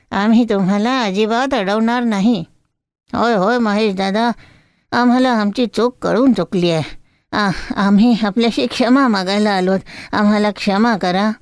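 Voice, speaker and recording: A woman voicing a blustering, bossy male market trader in an animated moral story. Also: medium pitch, no echo, lightly acted. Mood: apologetic. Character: bullying male market traders, loud and threatening.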